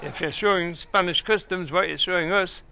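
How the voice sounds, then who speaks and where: A man's voice: high-pitched, normal speed, creaky. A man playing a wisecracking, irreverent junior salesman in a radio sitcom, cheeky and mocking. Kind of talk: radio play sitcom comedy acting. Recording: very noisy with clicks.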